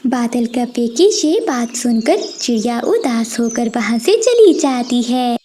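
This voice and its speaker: A woman reading scripted narration for a children's animated story. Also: on the high side, slow, slight background noise.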